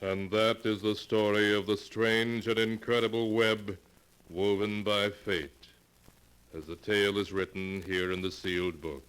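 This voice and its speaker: A man narrating a 1940s radio show in a measured, portentous manner. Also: lowish voice, flat delivery, a bit bright, faint music behind. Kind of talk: scripted narration. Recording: old radio broadcast with faint hiss.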